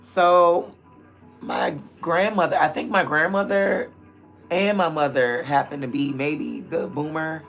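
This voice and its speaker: A raspy woman in her thirties talks straight to her livestream viewers, candid and rambling, sometimes loud and sometimes dropping to a mutter. Her voice has a gravelly fry running through it. Faint music plays behind her. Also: low-pitched, slow. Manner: candid, rambling, drifts between loud and murmured asides. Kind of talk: casual livestream chat.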